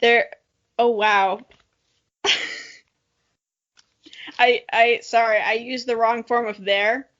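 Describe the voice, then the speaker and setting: High-voiced, playful young woman, dull audio. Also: fairly even intonation, slow, pauses often, fairly bright tone. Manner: playful, self-mocking, jokey. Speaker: podcast co-host. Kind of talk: reading aloud with casual commentary.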